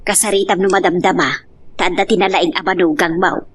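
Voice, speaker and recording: A woman's voice: fairly low pitch, fairly even intonation, fairly quick, slightly rough. A woman with an Ilocano accent playing an inquisitive, bossy household woman in an audio drama. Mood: inquiring. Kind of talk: Ilocano radio-style drama acting. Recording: distant mic with noisy ambience.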